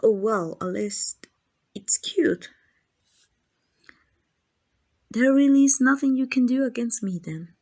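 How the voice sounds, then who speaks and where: A woman's voice: on the high side, animated, moderate pace, a little mellow. A woman voicing an arrogant, possessive female vampire in an ASMR roleplay, teasing and condescending to the listener. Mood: mocking, amused. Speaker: amateur voice actor.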